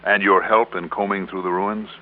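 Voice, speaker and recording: An actor plays a hard-nosed investigator in an old-time radio detective drama. His voice is on the low side, measured and pointed. It comes through a slightly narrow vintage recording with music about. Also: level tone, close to an everyday voice. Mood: accusing. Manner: probing, measured, accusing. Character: hard-nosed, steady male insurance investigator.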